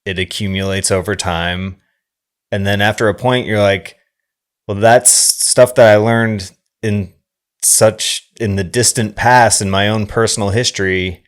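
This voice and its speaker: A man with a low voice talks as a podcast co-host. He is wordy and reflective, stopping and rephrasing as he builds a long thought in casual conversation. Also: steady tone, a little slow, smooth. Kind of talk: casual film discussion.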